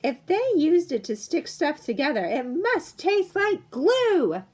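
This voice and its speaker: A woman's voice: high-pitched, big pitch swings, slow, a little mellow, voice pushed up, heavily acted. A woman voicing a bossy, sneering little girl in a picture-book read-aloud. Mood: disgusted.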